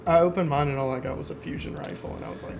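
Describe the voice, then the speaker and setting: Gravelly, higher-pitched man on a podcast. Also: steady tone, racing. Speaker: podcast co-host. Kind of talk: casual joking podcast chat. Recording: clear background noise.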